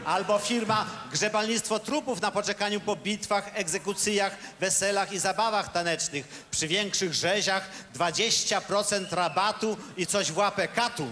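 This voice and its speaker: Boastful little knight colonel, high bright voice, old radio play with roomy reverb and a bit of hiss. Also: male voice, moderate pace, hardly any pauses. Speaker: radio cabaret actor. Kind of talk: satirical radio play acting.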